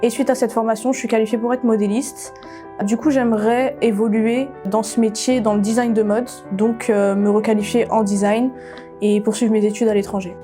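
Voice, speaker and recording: A woman's voice: a little high, level tone, moderate pace, warm tone, faint music behind. A nineteen-year-old woman, a fashion student, introducing herself plainly in an interview.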